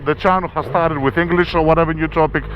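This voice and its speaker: A man's voice: fairly high pitch, fairly even intonation, fast. A man in his forties with a Greek-Australian accent, chatting loosely as he rides through city traffic and cursing at other drivers. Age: 40s. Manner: rambling, swears at other drivers. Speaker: motovlogger. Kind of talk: casual chat while riding. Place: on a motorbike in city traffic, outdoors. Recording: muffled with loud road noise.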